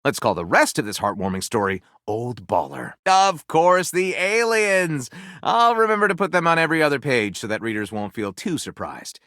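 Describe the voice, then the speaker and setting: A glib, theatrical would-be author from a video game, telling pulp tales with mock grandeur. The voice sits a little high and swings widely in pitch, wry and comic. The sound is dry and close. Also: male voice, sing-song, moderate pace, bright, forceful tone, no echo. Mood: mock-impressed, then dry, resigned. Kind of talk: scripted game dialogue, comic narration.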